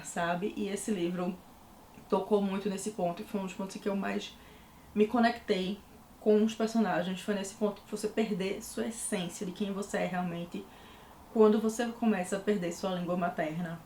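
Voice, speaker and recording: A woman's voice: medium pitch, steady tone, brisk, a little mellow. Chatty recife girl w pernambuco accent, lots of fillers, bit of room echo, faint hiss. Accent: Recife Pernambuco Portuguese. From Recife, Pernambuco, Brazil. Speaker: book reviewer. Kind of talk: casual book review vlog. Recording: echoey room.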